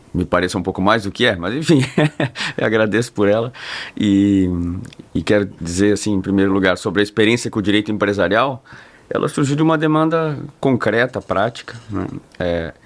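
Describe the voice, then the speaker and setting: Measured, formal male guest. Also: fairly low pitch. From Portugal. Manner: measured, formal, careful wording. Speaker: guest lawyer and professor. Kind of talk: interview answers.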